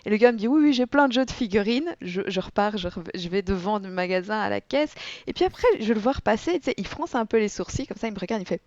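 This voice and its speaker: A young woman speaking as a guest on a casual podcast, animated and chatty as she tells her stories. The audio is dull and lo-fi, and dry, with no room sound. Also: mid-range voice, fast talker, no echo. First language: French. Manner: lively storytelling, animated. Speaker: guest, board game content creator. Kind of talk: relaxed podcast interview.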